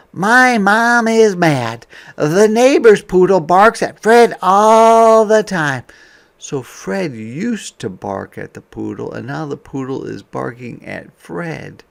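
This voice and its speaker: A man reading a picture book aloud to young children as a teacher, expressive, with softer conversational asides. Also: sits high, creaky.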